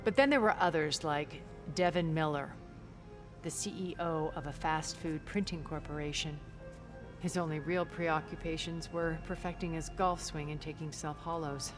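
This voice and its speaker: An actress playing a refined, wealthy immortal woman in a video game, speaking in measured, eloquent, theatrical phrases with quiet scorn. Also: low voice, steady tone, over music, close to an everyday voice. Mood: cool disdain. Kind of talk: video game character dialogue.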